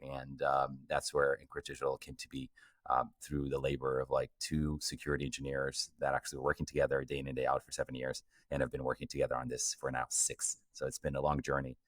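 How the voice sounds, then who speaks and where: Middle-aged guest from Portugal, very deep voice, dry sound, relaxed storyteller. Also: male voice, fast talker, dry recording. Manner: fluent storytelling, fillers like um. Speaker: guest, company co-founder. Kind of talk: conversational interview answers.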